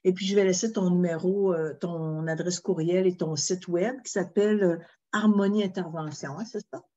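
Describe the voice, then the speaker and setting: A woman's voice: on the low side, fairly even intonation, normal speed, lo-fi sound. A woman host with a Québécois accent, interviewing a guest in a chatty way with frequent fillers. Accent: Québécois French. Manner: warm-hearted, chatty, many fillers. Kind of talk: interview chat.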